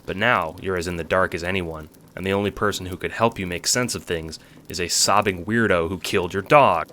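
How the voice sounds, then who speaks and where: A man's voice: low voice, brisk, bright, forceful tone, slight background noise. A man reading scripted second-person narration for an audio drama.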